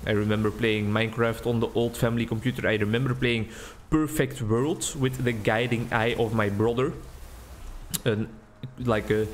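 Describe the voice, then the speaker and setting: A young Belgian-accented man talks through his gameplay at an easy, meandering pace, thinking aloud between remarks. His voice sits at an ordinary middle pitch. A faint hiss of noise runs underneath the recording. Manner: rambling, relaxed, thinking aloud. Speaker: gaming video creator. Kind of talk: casual gameplay commentary. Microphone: desk mic with webcam.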